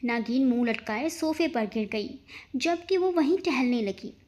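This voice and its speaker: A woman with a high voice narrates a scripted story. Her reading is expressive, in the manner of an audio storybook. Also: unhurried.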